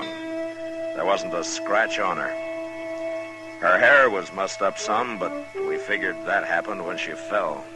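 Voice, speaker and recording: A calm American frontier marshal speaks in a low, deep voice with few words to spare. It is old-time radio western acting, on a vintage recording with music. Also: male voice, low-quality recording. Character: calm, laconic frontier US marshal, grown man. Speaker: lead actor and narrator.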